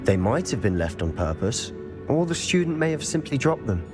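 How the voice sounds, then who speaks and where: A man's voice: mid-range voice, very animated, moderate pace, music in the background, lightly acted. A man playing an eager, loyal teenage wizard schoolboy in a video game, earnest and conspiratorial, with a British accent. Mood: focused, thoughtful. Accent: British English.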